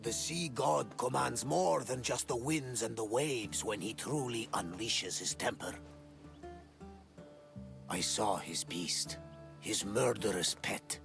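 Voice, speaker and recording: An actor playing a weathered, pious sea captain in a video game, talking dramatically with a gravelly voice and calling on the gods. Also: male voice, medium pitch, slow, over music. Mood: ominous. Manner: dramatic, invokes the gods, bursts into laughs. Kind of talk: video game cutscene acting. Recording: game score under the voice.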